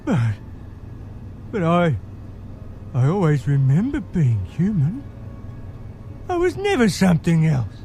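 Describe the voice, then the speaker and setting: A man's voice: fairly high pitch, wildly swooping pitch, slow pace, pauses often, mellow, slight background noise, heavily acted. A man playing a naive, frightened patchwork creation in an audio drama, hesitant and protesting. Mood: bewildered.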